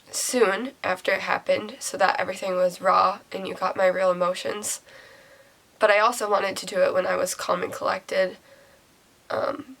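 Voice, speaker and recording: A young woman vlogger getting over a cold, her voice nasal and raspy, speaking emotionally and haltingly as if close to tears. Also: mid-range voice, rough recording. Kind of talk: personal confessional vlog.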